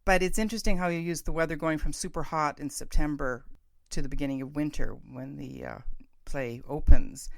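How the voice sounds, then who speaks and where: Female podcast host, very low register, chatty and effusive interviewer, dry recording. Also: steady tone, a little fast, vocal fry, dry recording. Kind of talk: conversational interview.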